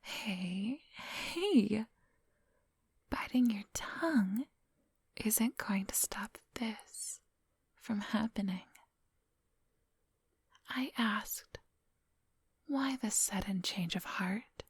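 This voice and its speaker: A woman's voice: medium pitch, animated, very slow, long pauses, slightly rough, no echo, exaggerated voice. A woman playing a coolly hypnotic, controlling female vampire in an ASMR roleplay, whispering breathily in a condescending, coaxing and commanding manner, close to the microphone. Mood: scornful, probing. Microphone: close, dry microphone.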